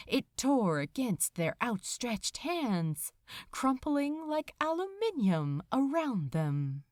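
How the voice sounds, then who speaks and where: A woman reading fiction aloud as a dramatic narrator, deliberate and expressive, with pauses between phrases. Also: medium pitch, no room sound, studio quality. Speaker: narrator of fan fiction audiobook. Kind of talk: dramatic scripted story narration.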